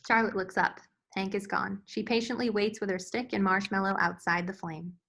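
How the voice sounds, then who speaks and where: A woman's voice: mid-range voice, steady tone, normal speed, fairly bright tone. A woman reading scene headings and stage directions as the narrator of a screenplay table read.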